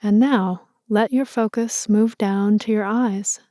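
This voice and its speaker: A woman leading a guided meditation in a slow, soothing and gentle way. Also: mid-range voice, fairly even intonation, dry recording, studio quality.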